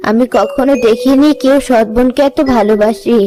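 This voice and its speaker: Highish, motherly village woman played by a woman. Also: steady tone, normal speed, noisy background, lightly acted. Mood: tender. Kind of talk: Bengali moral-story cartoon dubbing. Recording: music under the voice.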